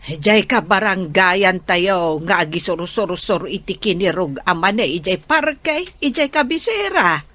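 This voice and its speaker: A woman's voice: mid-range voice, lively intonation, unhurried, a bit bright. A woman playing a gossiping, meddling neighbor in a radio drama. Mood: gossiping, warning.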